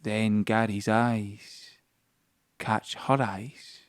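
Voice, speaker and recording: Slow, halting man in a brooding audio drama. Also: fairly low pitch, little pitch movement, clear, resonant tone, no echo, studio quality. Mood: tense, yearning. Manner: deliberate, halting short phrases. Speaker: actor and narrator. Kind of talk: dramatic audio play monologue.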